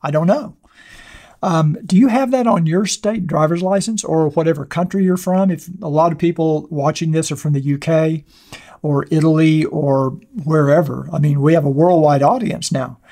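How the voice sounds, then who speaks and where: Hoarse older man chatting to camera. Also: a little high, normal speed, warm tone. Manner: rambling, conversational, many fillers and restarts. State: hoarse, losing his voice. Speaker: motorcycle channel host. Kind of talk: casual talk to camera answering viewer comments. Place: small dark studio, seated at a desk. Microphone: wireless clip-on mic.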